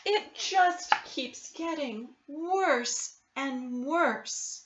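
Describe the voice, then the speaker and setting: A woman's voice: high-pitched, expressive, very slow, roomy sound, dull audio, slight background noise, voice pushed up, full character voice. A woman voicing an overwrought, anxious mother in a children's storybook. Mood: distraught.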